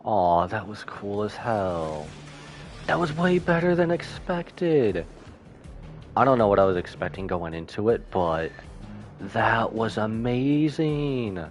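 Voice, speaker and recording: A deep-voiced man streams a game, reacting informally in slangy chat. His intonation is lively, with faint music behind him. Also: slow. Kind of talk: casual live reaction commentary.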